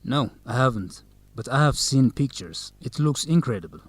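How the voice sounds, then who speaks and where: A man's voice: mid-range voice, lively intonation, normal speed, breathy edge, dry recording. A man playing an earnest, courteous young student leader in an English-learning radio drama. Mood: polite, curious. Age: young adult.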